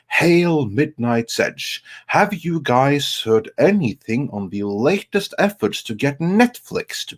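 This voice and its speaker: Slow-paced man hosting a live stream. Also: mid-range voice, husky. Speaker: host of a live stream show. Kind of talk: casual live chat show, reading viewer messages.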